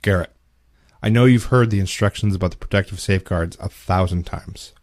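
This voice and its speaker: A woman's voice: very deep voice, brisk, darkish tone, no echo, clean studio sound, voice pushed down. A woman playing a stern, by-the-book head nurse in an audiobook, with a formal, procedural way of talking. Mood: firm, formal.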